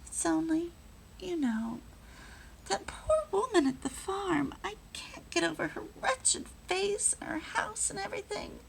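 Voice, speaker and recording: A woman doing a soft-hearted, tearful young wife. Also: very high-pitched, lively intonation, creaky, a little hiss or hum, exaggerated voice, poor audio. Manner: emotional, halting.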